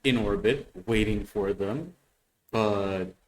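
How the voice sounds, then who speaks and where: A man hosting a reaction video, giving casual unscripted commentary in a halting way, often repeating words as he thinks. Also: fairly low pitch, rough recording. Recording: faint background noise.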